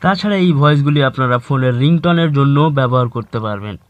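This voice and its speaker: Fast-talking man narrating a tech tutorial. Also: mid-range voice, lively intonation, narrow, tinny audio. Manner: instructional, addresses viewers directly as friends. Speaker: tech channel host. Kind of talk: tutorial narration for an online video. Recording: music bed under the voice. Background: background music.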